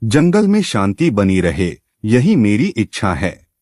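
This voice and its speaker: A man's voice: fairly low pitch, wildly swooping pitch, slow, darkish tone, no echo, studio quality, full character voice. A man playing a stately, just lion king in a cartoon moral story, solemn and full of authority.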